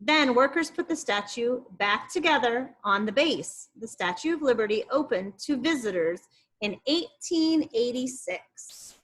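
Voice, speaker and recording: High, very lively woman teacher reading aloud. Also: a little slow, rough recording. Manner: expressive, explanatory, addressing young pupils. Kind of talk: children's read-aloud with teaching asides.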